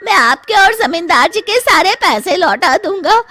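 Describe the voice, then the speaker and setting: A woman's voice: very high voice, lively intonation, moderate pace, sharp tone, a little hiss or hum, pitched above the performer's own voice, heavily acted. A woman voicing a cornered male thief, whining desperately in a story. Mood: pleading.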